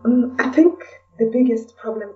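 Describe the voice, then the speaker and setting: A woman's voice: fairly high pitch, normal speed. A middle-aged woman with a Kenyan accent answering interview questions in a reflective, hesitant conversational way, often adding "you know". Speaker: podcast guest, blogger and podcaster. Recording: distant mic, dull lo-fi audio.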